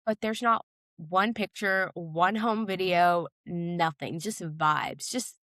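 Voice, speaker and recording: A woman's voice: on the low side, slow pace, fairly bright tone, no room sound. Woman chatting casually, very dry sound. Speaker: podcast co-host. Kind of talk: casual chat, nostalgic reminiscing.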